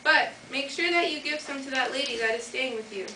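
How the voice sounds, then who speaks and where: A woman's voice: sits high, fairly quick. A teenage girl playing a wicked witch disguised as a pleading, wheedling old lady in a school fairytale play. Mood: sly. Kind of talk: amateur school readers' theater. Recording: echoey noisy hall from far off.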